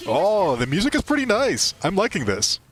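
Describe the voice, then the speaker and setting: A man's voice: high voice, wildly swooping pitch, normal speed, fairly bright tone. A young man giving casual, playfully dramatic live commentary as he streams a video game. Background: game music.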